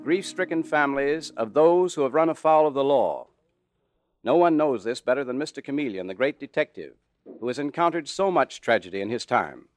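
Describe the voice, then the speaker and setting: A man with a fairly high voice narrates like a 1940s radio announcer, formal and dramatic. The old broadcast recording has clear music behind him.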